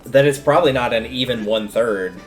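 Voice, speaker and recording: A man's voice: medium pitch, a little fast. A man giving casual livestream commentary while playing a video game, rambling a bit as he thinks aloud. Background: video game music.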